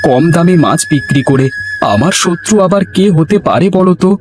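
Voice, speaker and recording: A man voicing a humble, honest village fisherman husband in an animated folk tale, with a plaintive, earnest, homely manner. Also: a little high, brisk, lightly acted. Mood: puzzled, resigned. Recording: music behind the voice.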